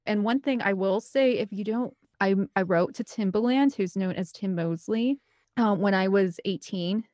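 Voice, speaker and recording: A woman in her thirties speaks with a Midwestern American accent at a medium pitch. She tells stories in a casual, rambling interview style, slipping into quoted dialogue. The recording is dry, with no room echo. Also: normal speed, a little mellow. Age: 30s. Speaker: podcast guest, film composer and director. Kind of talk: casual chatty interview storytelling.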